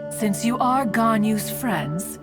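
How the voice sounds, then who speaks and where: A woman's voice: on the high side, expressive, slow, music in the background. A woman playing a haughty but fond ancient female immortal spirit in a video game, talking in a stately, archaic way. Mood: chatty, proud. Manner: stately, archaic, calls self "one".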